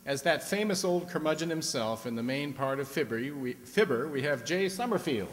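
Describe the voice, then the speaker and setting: A man's voice: fairly high pitch, expressive, moderate pace. Male stage announcer in an echoey hall. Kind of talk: live stage announcing of a radio play. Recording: echoey hall, audience and background noise.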